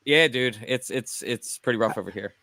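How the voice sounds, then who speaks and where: A man's voice: mid-range voice, moderate pace, a crowd in the background. A man co-hosting a casual sports podcast, upbeat and easygoing. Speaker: podcast co-host.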